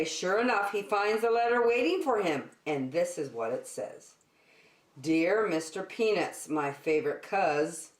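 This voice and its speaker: A woman tells a children's story in a very animated voice that swings widely in pitch. She takes her time, speaking slowly and clearly to little listeners like a circle time teacher. The room around her adds a noticeable echo. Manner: expressive, addresses young listeners with questions and prompts. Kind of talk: animated picture-book read-aloud for young children.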